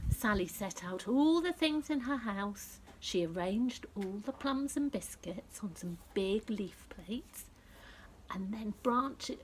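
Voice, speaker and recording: A woman reading a picture book aloud to young children, speaking directly to them in an expressive storytelling style that often drops to a hush. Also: mid-range voice, a little hiss or hum, poor audio. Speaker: children's story reader and presenter.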